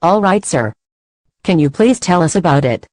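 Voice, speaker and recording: A woman playing a businesslike emergency dispatcher in an audio drama, speaking in a formal, measured manner. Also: on the low side, animated, darkish tone, no room sound. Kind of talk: amateur audio drama acting.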